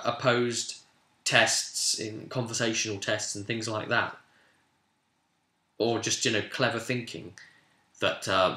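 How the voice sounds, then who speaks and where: Guy recapping his tabletop rpg session, bright punchy voice, wanders into asides, echoey room. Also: lowish voice. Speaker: game master. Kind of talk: casual recap of a tabletop role-play session. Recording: roomy, echoey room sound.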